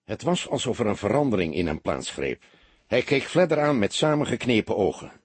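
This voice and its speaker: Husky, expressive male audiobook narrator. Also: on the low side, fairly quick, no echo. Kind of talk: dramatic scripted narration of a detective novel.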